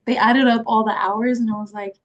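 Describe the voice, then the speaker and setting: A woman's voice: on the high side, steady tone, brisk, highs cut off. A young woman, an actress, chatting casually over a video call, laughing often and filling her talk with "like". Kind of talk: casual conversation.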